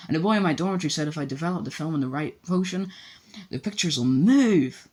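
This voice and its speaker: A man's voice: a little high, animated, fast, a little mellow, voice pushed up. A man doing a breathless, starstruck young schoolboy. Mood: eager. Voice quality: breathless, eager. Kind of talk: audiobook character voice.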